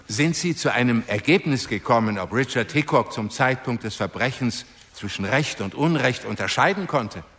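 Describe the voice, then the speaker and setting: A man's voice: medium pitch, expressive, moderate pace, fairly bright tone. An actor playing an earnest male defence lawyer, speaking formally in a courtroom radio drama, with long reverb.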